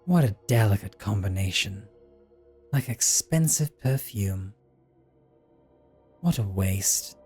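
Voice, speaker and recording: A possessive vampire played by a man speaks in a dark, heavily breathy voice. He is condescending and theatrical, drawing his words out slowly in a coldly menacing roleplay monologue. His pitch swoops expressively as he taunts. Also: expressive, faint music behind, full character voice. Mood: mocking, predatory. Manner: condescending, drawn-out, theatrical delivery. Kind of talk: dramatic roleplay audio monologue.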